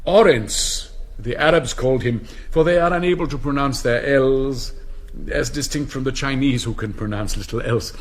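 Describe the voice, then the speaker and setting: Male actor as English headmaster, elderly and pompous, delivering a grand comic lecture at medium pitch. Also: moderate pace, lightly acted. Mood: grandly lecturing. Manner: pompous, mannered, declamatory.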